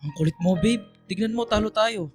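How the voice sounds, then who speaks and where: A man's voice: high voice, brisk, lightly acted. Irritable, controlling Filipino boyfriend, acted by a man, music underneath. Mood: annoyed, nagging. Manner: curt, nagging, defensive. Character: irritable, controlling older boyfriend, gamer, dismissive and defensive. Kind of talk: Filipino radio drama acting.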